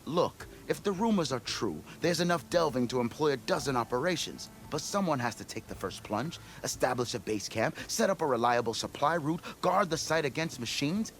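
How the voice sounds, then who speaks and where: An actor playing a blustering, scheming expedition leader in a video game, with grandiose storytelling. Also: male voice, medium pitch, lively intonation, moderate pace, hardly any pauses, a little hiss or hum, close to an everyday voice. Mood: eager, persuasive. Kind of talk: video game cutscene acting.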